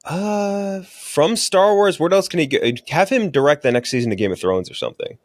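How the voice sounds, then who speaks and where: A man's voice: on the high side, expressive, moderate pace, no echo, studio quality. A man co-hosting a movie podcast, chatting casually and bluntly about film and TV with slang and swearing. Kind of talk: casual opinionated film and TV chat.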